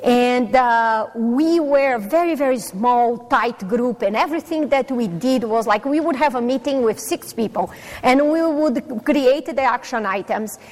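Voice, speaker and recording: A middle-aged Brazilian woman with a Portuguese accent addresses a live audience, chatty and self-deprecating, pausing on fillers. Her voice sits fairly high for a woman. The long reverb of a conference hall trails after each phrase. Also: darkish tone. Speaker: conference speaker. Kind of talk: personal talk to a live audience.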